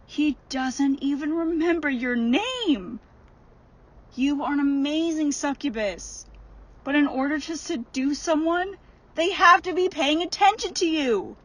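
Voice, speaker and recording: Woman playing a high-voiced, stern supernatural overseer. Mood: admonishing. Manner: admonishing, measured. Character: stern female overseer warning a wayward succubus. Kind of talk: audio horror drama acting.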